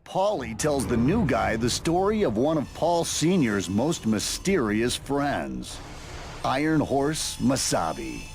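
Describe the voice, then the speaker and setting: A man narrating a motorcycle video game in a scripted, reality-show storytelling style. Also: mid-range voice.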